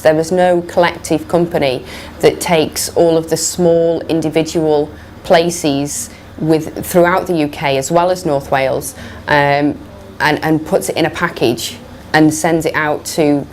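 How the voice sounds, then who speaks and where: Very low-voiced hesitant woman. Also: a little mellow, slight background noise. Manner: hesitant, considered.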